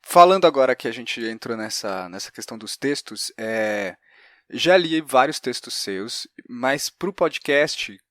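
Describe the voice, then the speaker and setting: A man's voice: mid-range voice, lively intonation, a little slow, a bit bright, dry recording, clean studio sound. A man with a Brazilian Portuguese accent, interviewing as a podcast host in a conversational, inquiring way with hesitant phrasing.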